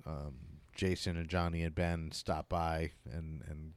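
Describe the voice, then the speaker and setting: A man's voice: rumbling low, little pitch movement, unhurried, no echo. A man hosting a podcast, chatting casually and enthusiastically in an informal way, as a musician in a band. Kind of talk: casual chat about local bands.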